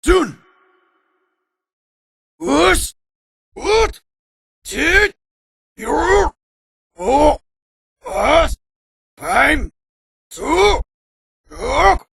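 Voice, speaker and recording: Game creature casting: male cat warrior, very high gravelly bellows, wildly swooping, one drawn-out word at a time. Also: big pitch swings, a bit bright, exaggerated voice. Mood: fierce, forceful. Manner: bellowing single explosive words. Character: fierce male cat-folk warrior bellowing magic shouts. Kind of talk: video game battle shouts.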